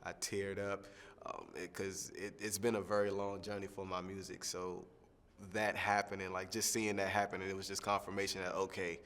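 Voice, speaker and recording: A young man, a singing contestant, answering interview questions in a relaxed, rambling way with lots of fillers. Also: low-pitched, moderate pace, big-room sound. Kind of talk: press junket soundbite interview.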